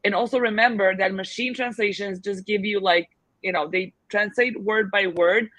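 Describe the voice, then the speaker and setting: A woman's voice: medium pitch, fairly even intonation, normal speed, a bit bright, no echo, rough recording. A woman answering questions as a guest expert on a video call, chatty with many fillers and restarts. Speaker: guest expert on selling abroad. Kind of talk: casual advice in a video call Q&A.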